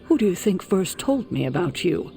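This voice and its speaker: An actor playing a composed, authoritative leader of a superhero team, speaking in a measured, formal, articulate way in a dramatic video game. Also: male voice, sits high, big pitch swings, gravelly, mellow, exaggerated voice. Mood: grave, informative. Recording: music behind the voice.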